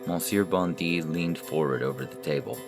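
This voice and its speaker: A man's voice: sits low, normal speed. A man reading scripted literary fiction as a story narrator. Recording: music under the narration.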